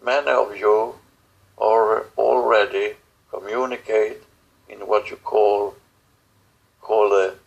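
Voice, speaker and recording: Very slow, gravelly man, halting delivery. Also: fairly low pitch, fairly even intonation, highs cut off. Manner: halting, solemn, broken phrases with long pauses. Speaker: channeler speaking as star beings in a guided session. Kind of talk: channeled spiritual message.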